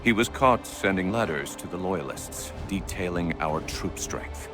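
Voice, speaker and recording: An actor playing a grave, courteous military commander in a video game, formal, measured and authoritative. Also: male voice, sits low, lively intonation. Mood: grave, wary. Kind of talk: dramatic video game acting. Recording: prominent music behind the voice.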